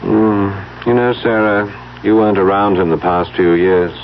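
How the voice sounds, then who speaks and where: Older stepbrother: world-weary, wry, lowish pitch, stagey radio drama delivery, dull old-time sound. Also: male voice, measured pace. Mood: wry, wistful. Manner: wry, droll, theatrical. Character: sardonic, world-weary older stepbrother, middle-aged heir. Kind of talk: 1970s radio drama acting. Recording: dull, hissy 1970s radio recording.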